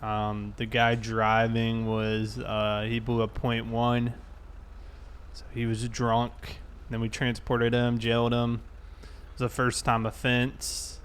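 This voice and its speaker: A man chatting casually and thinking aloud in a halting way as he livestreams a police roleplay game. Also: mid-range voice, completely flat delivery, clear, resonant tone.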